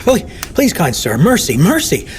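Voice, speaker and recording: High-pitched, wavering old bard. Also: male voice, wildly swooping pitch, moderate pace, a little hiss or hum. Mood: terrified, pleading. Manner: wavering, humble, pleading delivery. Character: frail aged male tavern bard, apologetic, pleading, hysterical.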